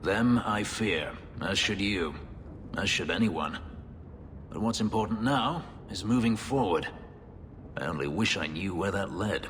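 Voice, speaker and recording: An actor playing a brooding, bitter elf warrior who was once a slave, speaking in a grave, measured way. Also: male voice, animated, a bit bright, a little hiss or hum. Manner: low, grave, measured delivery. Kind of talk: video game voice acting. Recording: long reverb under game music.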